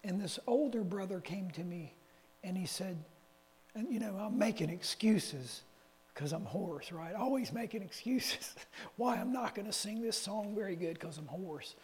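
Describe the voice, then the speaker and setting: A man's voice: high voice, lively intonation, measured pace. Folksy man preaching in a hall. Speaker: lay preacher. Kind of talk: conversational sermon with personal stories. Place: church meeting hall. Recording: reverberant hall with faint hum.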